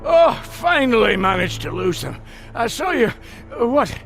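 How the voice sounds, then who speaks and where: A man's voice: very high-pitched, very animated, unhurried, creaky, a bit bright, faint music behind, exaggerated voice. An actor playing a shifty, blustering male dwarf treasure hunter in a comic fantasy game, evasive and full of excuses. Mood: flustered, defensive. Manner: evasive, self-excusing, hesitant asides.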